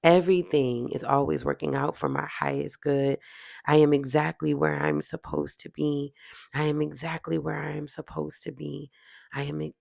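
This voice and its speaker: A meditation guide speaking in a soothing, deliberate way, repeating affirmations with drawn-out pauses. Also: mid-range voice, vocal fry, darkish tone, no room sound, studio quality.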